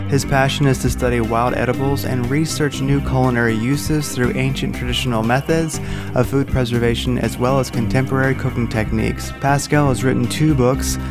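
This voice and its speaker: A man hosting an interview podcast, speaking casually with frequent fillers and restarts. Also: mid-range voice. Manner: hesitant, many fillers and restarts. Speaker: podcast host.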